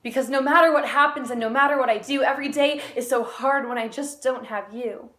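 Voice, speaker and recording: Girl doing a slam poem, kinda high voice, earnest, deliberate phrasing w dramatic pauses. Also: a bit bright. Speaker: amateur slam poet. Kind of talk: spoken-word slam poetry recital.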